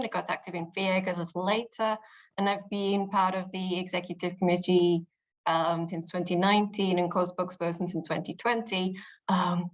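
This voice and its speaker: Earnest young British woman, low voice. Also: low-quality recording. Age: young adult. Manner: earnest, upbeat, many fillers and restarts. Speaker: interviewee, youth political spokesperson. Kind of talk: casual interview answers.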